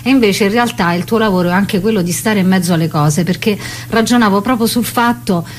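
A woman's voice: mid-range voice, brisk, a little hiss or hum. A woman being interviewed as a playwright and actress, speaking reflectively and feeling her way through her phrases. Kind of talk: conversational radio interview.

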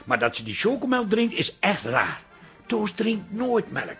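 A man's voice: sits high, huge pitch swings, a little slow, fairly bright tone, faint music behind, full character voice. A man acting in a radio comedy drama as a middle-aged, bemused husband, wry, confiding and grumbling. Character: bemused, dry-humoured husband from a farming family.

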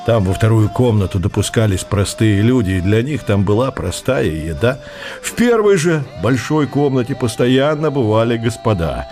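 A man narrating a literary radio drama with measured, expressive storytelling phrasing. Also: medium pitch, soft background music.

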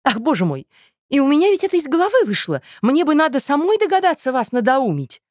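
A scheming society mother from a storybook, sugary and theatrical. Her voice sits high and swoops extravagantly as she coaxes and fusses. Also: sing-song, quick pace, darkish tone, no echo, clean studio sound. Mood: coaxing. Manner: theatrical, effusive, peppered with French endearments.

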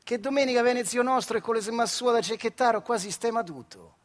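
A man's voice: extremely high pitch, a little fast, pitched above the performer's own voice. A male actor with a Marche accent playing a sullen, cheeky twelve-year-old farm boy in a theatrical monologue, speaking plaintively. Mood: indignant. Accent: Marche, central Italy.

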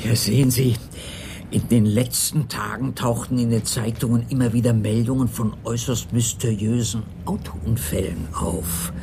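A man's voice: mid-range voice, steady tone, moderate pace, creaky, lightly acted. Hesitant, fussy porter played by a man, noisy ambience. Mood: hesitant, hushed. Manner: hesitant, polite, formal, breaking into hushed tones. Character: timid, fussy male nursing-home porter and secretary. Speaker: actor in a crime radio play. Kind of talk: 1980s German crime radio drama acting. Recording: studio radio play with noisy background ambience.

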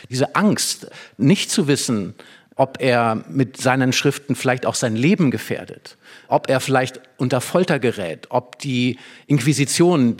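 Discursive man explaining as expert guest. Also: mid-range voice. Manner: discursive, explanatory, long winding sentences. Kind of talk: explanatory radio conversation about literature.

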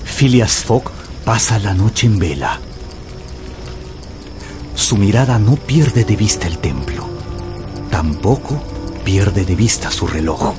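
A man's voice: on the low side, expressive, takes it slowly, pauses often. A man narrating an adventure novel as dramatic scripted storytelling for radio. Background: music.

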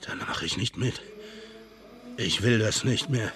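An actor playing a weary former outlaw in a German western radio drama, subdued, curt and guarded. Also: male voice, on the low side, unhurried, a bit bright. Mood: guarded, resigned. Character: weary, reformed outlaw living peacefully, guarded.

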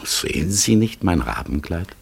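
An actor playing a gentle, melancholy talking raven in a radio play, halting and solemn. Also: male voice, sits low, sing-song, full character voice. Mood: wistful, guarded. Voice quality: husky, gravelly, breathy. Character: melancholy talking raven exiled among humans, searching, gentle. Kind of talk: German radio play acting.

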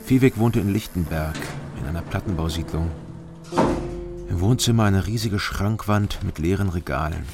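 A man's voice: low voice, moderate pace, vocal fry, over music. An actor playing a gloomy, burnt-out East German man who tells his own story tersely in a radio crime drama. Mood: sombre narration. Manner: terse, subdued delivery. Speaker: lead actor and first-person narrator.

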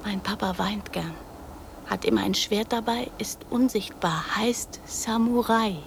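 A woman's voice: mid-range voice, unhurried, vocal fry, a little hiss or hum. A woman playing a precocious, brazen young girl in a radio drama, speaking in blunt, childlike short sentences. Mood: quiet, confiding.

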